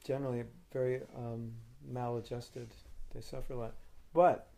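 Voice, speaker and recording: A man's voice: medium pitch, flat delivery, moderate pace, vocal fry, dark tone. A man leading a question and answer session as a spiritual teacher, arguing his point firmly and patiently. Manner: firm, reasoning, argumentative. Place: meeting room.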